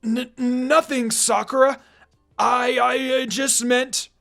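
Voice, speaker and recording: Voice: medium pitch, fairly even intonation, slow, gravelly, fairly bright tone, soft background music, voice pushed up. Reader doing a brash, hot-headed teenage boy. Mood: panicked stammering. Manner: cocky, stammering when frightened.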